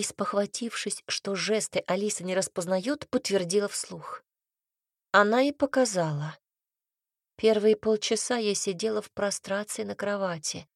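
A woman narrating a first-person story as an audiobook, reading in an even, expressive way. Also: mid-range voice, no echo, clean studio sound.

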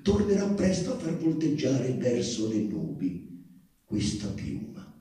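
Slow, echoey man reading dramatically. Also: medium pitch, big pitch swings, warm tone. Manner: measured, with drawn-out words and dramatic swells. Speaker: actor giving a literary reading. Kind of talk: dramatic theatrical reading of poetic prose. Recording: distant, very echoey roomy sound.

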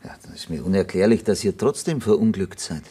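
A probing detective inspector for a radio drama. The voice is fairly low and husky. He questions in short, measured sentences and does not let go. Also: lively intonation, warm tone, exaggerated voice. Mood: insistent, matter-of-fact. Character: probing, persistent male police detective inspector. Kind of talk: German radio drama acting.

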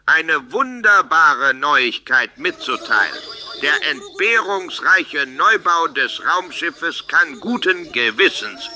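A man's voice: fairly high pitch, sharp tone, heavily acted. A man playing a pompous, exasperated guru cult leader, declaiming a speech in an improvised comedy radio play. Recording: noisy crowd behind homemade radio play.

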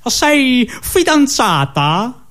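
A man's voice: extremely high pitch, sing-song, very slow, fairly bright tone, faint music behind, pitched above the performer's own voice, exaggerated voice. A man playing an earnest, bashful male owl who courts tentatively in an absurdist radio sketch. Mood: shy courting.

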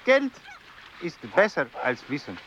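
A man's voice: fairly high pitch, very animated, unhurried, fairly bright tone, lo-fi sound, a little hiss or hum. An actor playing a boastful, blunt hired river boatman in a radio drama. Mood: cocky.

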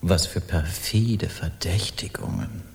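An actor playing a brazen, eloquent Parisian artist in a German radio crime play, theatrical and mocking in manner. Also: male voice, sits low, slow pace, darkish tone. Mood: defiant, accusing.

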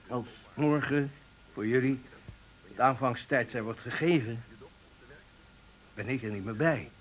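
An actor playing an embittered, eloquent factory worker of sixty-five, giving a dramatic, deliberate farewell speech in a radio play. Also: male voice, mid-range voice, fairly bright tone, rough recording. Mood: bitter, measured. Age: 60s. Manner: oratorical, dramatic, deliberate phrasing. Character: embittered eloquent 65-year-old male factory worker at his retirement.

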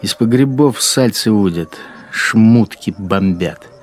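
An actor playing a crude, grumbling village collaborator in a radio drama, talking in folksy dialect-sprinkled phrases. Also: male voice, lowish voice, slow pace, a little mellow. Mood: sly, grumbling. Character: grumbling crude village collaborator policeman, a punitive squad man.

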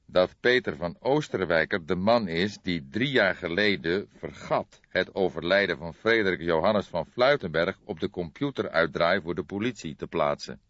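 A man acts out an ageing police detective in a crime audiobook. He speaks with patient authority and weighs his words, with an animated pitch. The sound is dry and a little muffled. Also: no room sound. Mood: patient, deliberate. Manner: measured, thoughtful, hesitant hums. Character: old grey male police detective, patient, deliberate, authoritative. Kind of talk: audiobook character dialogue. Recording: dull lo-fi recording.

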